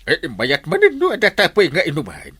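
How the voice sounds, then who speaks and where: Jolly greedy village man acted by a man, Ilocano accent, wildly swinging pitch, bright forceful delivery, radio comedy clowning. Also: wildly swooping pitch, a little fast, breathy edge, no echo, clean studio sound, heavily acted. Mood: jolly, wheedling. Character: jolly gluttonous tipsy village man, loud clowning friend.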